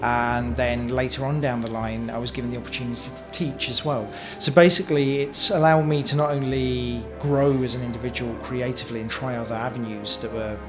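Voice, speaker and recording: Male actor-director interviewee, medium pitch, reminiscing with music clearly underneath. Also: steady tone, a little fast, few pauses. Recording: music playing behind the voice. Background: background music.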